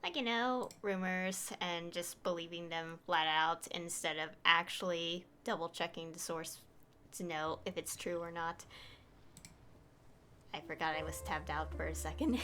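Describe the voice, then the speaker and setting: A woman's voice: on the low side, steady tone, a little slow, smooth. A woman streaming a game, musing aloud in an informal, slightly hesitant way as she reacts. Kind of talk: casual live stream reactions. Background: soft game music.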